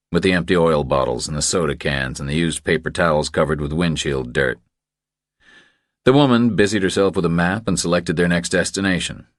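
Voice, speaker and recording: Casting: male audiobook narrator for scripted thriller prose, extremely low pitch, dry studio-close sound. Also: fairly bright tone, no echo.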